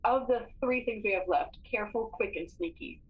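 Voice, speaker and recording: A woman's voice: a little high, normal speed, phone-quality sound. A woman chatting casually and decisively as a player in a tabletop role-playing game. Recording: clear background noise.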